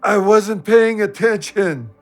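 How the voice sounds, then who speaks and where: A man's voice: sits high, slow. An actor playing a grief-stricken, drunken friend brooding on his guilt in an audio drama. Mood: guilt-ridden.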